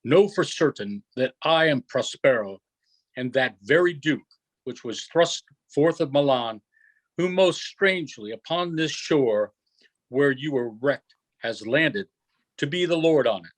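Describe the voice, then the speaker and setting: A man reading the part of a commanding, forgiving yet stern duke and magician in an unrehearsed Shakespeare play, in a measured, declamatory way. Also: medium pitch, animated, low-quality recording. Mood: measured, grave.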